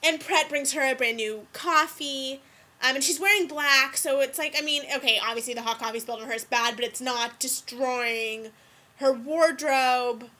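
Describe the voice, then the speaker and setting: Bright-voiced congested woman host. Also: high-pitched, moderate pace. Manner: chatty, explains clearly. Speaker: podcast host. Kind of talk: casual storytelling and explanation. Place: indoors.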